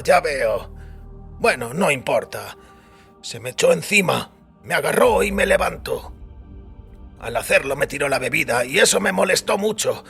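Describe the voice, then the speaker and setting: An actor playing a weary older priest who recounts a wild youth in a wry, reflective way, with a raspy voice. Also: male voice, fairly high pitch, a bit bright, exaggerated voice. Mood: wry, reminiscing. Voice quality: raspy, gravelly. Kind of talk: dramatised audiobook acting. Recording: music bed under the voice.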